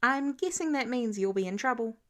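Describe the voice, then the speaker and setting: A woman plays a teenage boy working as an intern in a narrated story. The voice sits fairly high. He is cheeky yet earnest, with a touch of youthful awkwardness. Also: expressive, fairly quick, a bit bright. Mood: matter-of-fact.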